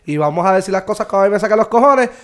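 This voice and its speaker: High-voiced Puerto Rican man, animated. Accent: Puerto Rican Spanish. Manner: animated, laughs often, quotes others in quick bursts. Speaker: podcast co-host. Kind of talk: casual chat.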